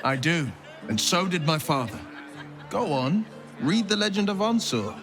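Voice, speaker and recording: A man's voice: a little high, big pitch swings, a little slow, a crowd in the background. A man playing an earnest, gallant young nobleman adventurer in a fantasy video game, with a theatrical, florid way of speaking. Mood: resolute. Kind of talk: dramatic video game cutscene acting. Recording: music behind the voice.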